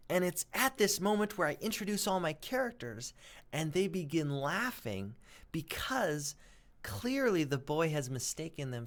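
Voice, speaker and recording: Expressive male teacher with very lively pitch. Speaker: teacher reading aloud. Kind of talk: expressive storybook read-aloud and lesson.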